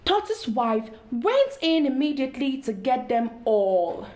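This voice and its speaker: A woman reading a story aloud to children in an expressive storytelling style, addressing young listeners directly. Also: sits high, measured pace.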